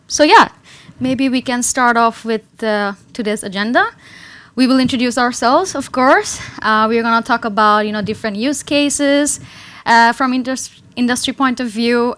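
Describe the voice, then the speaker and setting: A woman's voice: fairly high pitch, fairly bright tone, smooth. A woman from Bangladesh, a data analyst opening a presentation in an engaging way and often adding "right?". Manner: engaging, with frequent tag "right?". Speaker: data analyst and engineer, speaker. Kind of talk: presentation talk.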